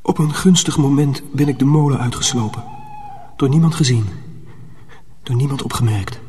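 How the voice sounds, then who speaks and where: An actor playing an earnest, protective young mill apprentice in a radio drama, measured and confiding. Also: male voice, medium pitch, darkish tone, slight background noise, close to an everyday voice. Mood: tense, wondering. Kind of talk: radio drama narration and dialogue.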